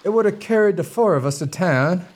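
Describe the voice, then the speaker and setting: An actor playing a flustered, hapless young suitor in a gothic comedy audio drama. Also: male voice, high voice, expressive, brisk, a little mellow.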